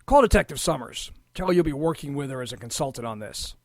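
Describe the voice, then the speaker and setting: An actor playing a gruff, exasperated police lieutenant in a noir audio drama, sarcastic and grumbling. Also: male voice, medium pitch, lively intonation, fast talker, a little mellow, no echo, clean studio sound, natural voice.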